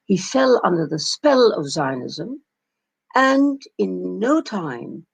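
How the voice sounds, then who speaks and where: An older woman from Palestine delivers a keynote in a slow, deliberate way, with frequent pauses and hesitations. Her voice sits a little low and has a gravelly, husky texture. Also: big pitch swings. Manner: measured, reflective, with many pauses and fillers. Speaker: keynote speaker. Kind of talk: conference keynote talk.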